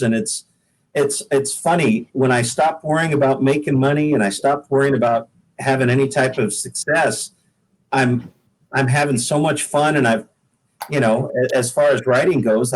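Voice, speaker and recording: Slow-to-mid paced American man, casual guest. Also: medium pitch, rough recording. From US Pacific Northwest. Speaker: guest singer-songwriter. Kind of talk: casual podcast chat.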